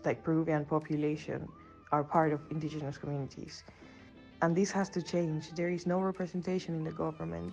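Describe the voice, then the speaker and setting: A woman's voice: sits low, creaky, darkish tone, rough recording. A woman from Peru giving an earnest prepared talk as a podcast guest, often saying "like". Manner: earnest, frequent "like" fillers.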